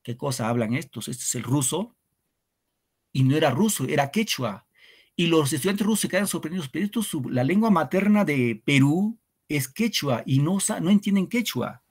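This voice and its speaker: A man's voice: fairly high pitch, animated, moderate pace, slightly rough. A man, teaching an online literature class to secondary students in an earnest, reflective way, often asking rhetorical questions. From Peru.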